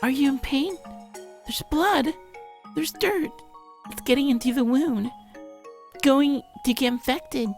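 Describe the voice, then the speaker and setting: A frantic, devoted young anime-game girl, acted out by a man, pitched far above a natural male voice. She fusses anxiously in short repeated phrases, with music behind her. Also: slow, pauses often, full character voice. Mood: panicked worry. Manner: repetitive, breathless short pleading phrases. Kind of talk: visual novel character voice.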